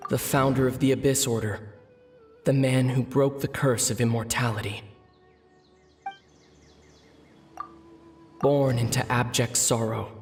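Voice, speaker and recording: A man's voice: mid-range voice, fairly even intonation, close to an everyday voice. Man acting a composed, pensive young traveler. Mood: solemn. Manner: measured, pensive. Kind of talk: video game voice acting. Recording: music behind the voice.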